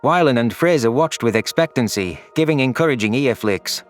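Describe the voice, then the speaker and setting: A man's voice: mid-range voice, animated, normal speed, soft background music. A man narrating a scripted science fiction story in the first person as an anguished, guilt-ridden alien. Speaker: narrator of a sci-fi audio story.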